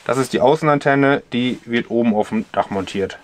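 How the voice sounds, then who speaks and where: A man's voice: medium pitch, normal speed. Chatty man in a camper van. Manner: chatty, explaining with frequent fillers. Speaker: vlogger. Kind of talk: casual product review vlog.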